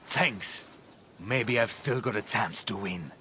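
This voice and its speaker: An actor playing an anxious, superstitious young male athlete in a video game, pleading and excitable. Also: on the low side, takes it slowly, background noise. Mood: pleading, downcast. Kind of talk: video game character acting.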